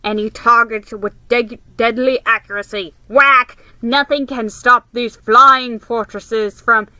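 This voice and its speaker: A woman voicing a mischievous boy who imitates a booming wartime radio announcer in a loud, dramatic, emphatic delivery. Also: fairly even intonation, takes it slowly, no echo. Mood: bombastic, playful.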